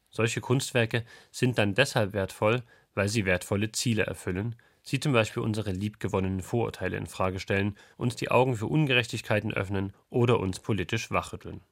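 A man reading a scripted, explanatory radio feature. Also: lowish voice.

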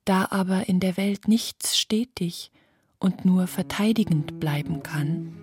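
A woman reading literary prose for a radio drama in a breathy, whispery voice with deliberate phrasing. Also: fairly low pitch, a little mellow. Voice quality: breathy, whispery, largely unvoiced.

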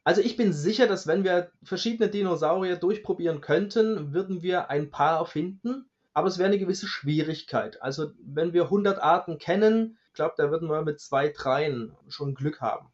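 A man's voice: on the high side, normal speed, few pauses. A man giving informal explanations as an expert researcher, on a slightly muffled recording. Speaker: dinosaur researcher, expert guest.

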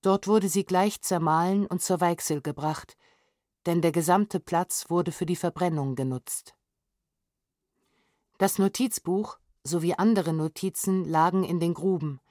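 Lowish-voiced woman reading documents, very dry. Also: a little slow, darkish tone, dry recording. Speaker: reader of historical documents. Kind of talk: scripted documentary reading for audio edition.

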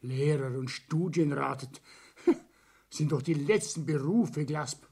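An actor playing a money-minded middle-aged builder and father, blunt and dismissive, husky. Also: on the high side, slow pace, darkish tone, full character voice. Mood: persuading, dismissive. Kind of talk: acted dialogue in a regional satire.

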